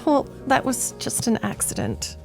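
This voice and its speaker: An actress playing a prim, sharp-tongued young single woman in a radio romantic comedy, stammering and indignant. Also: on the high side, wildly swooping pitch, measured pace, faint music behind, exaggerated voice. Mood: indignant, nervous. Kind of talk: old-time radio romantic comedy acting.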